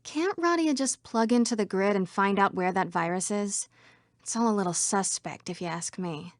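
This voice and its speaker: A woman's voice: medium pitch, normal speed, no echo, studio quality, natural voice. A woman voicing a female computer program citizen in a video game. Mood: suspicious, dismissive.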